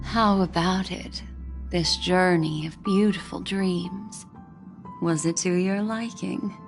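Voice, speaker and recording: An actress playing a mysterious, alluring woman who speaks in soft, slow, philosophical musings about memory in a game. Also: lowish voice, fairly even intonation, vocal fry, soft background music. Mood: teasing. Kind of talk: game voice acting.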